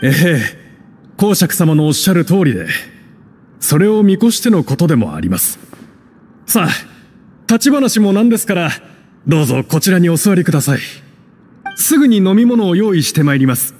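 High-voiced, courteous club president. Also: male voice, big pitch swings, normal speed, long pauses, a little mellow, a little hiss or hum. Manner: formal, polite, oratorical. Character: courteous, earnest adult club president with idealistic zeal. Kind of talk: Japanese anime game voice acting. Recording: long hall reverb, faint music.

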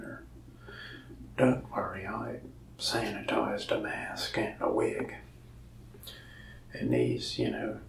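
A young man whispers his way through a soft-spoken ASMR roleplay as a shopkeeper, his low voice heavy with gravelly fry. He talks in slow, rambling, folksy asides. The sound is roomy and a little distant from the mic. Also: slight background noise. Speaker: shop salesman in a roleplay.

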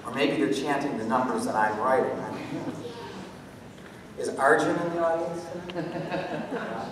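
A middle-aged American man giving a live talk on stage and taking audience questions, animated and jokey as he thinks aloud. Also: medium pitch, measured pace, crowd noise. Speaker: guest speaker, mathematician performer. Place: theatre stage, indoors. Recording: echoey hall from far off, noisy.

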